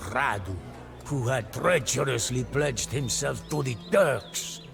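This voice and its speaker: A man's voice: mid-range voice, gravelly. A man playing a solemn traveler who narrates a grim history in a grave, theatrical storytelling style. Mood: foreboding. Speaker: campaign narrator. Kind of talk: dramatic scripted storytelling.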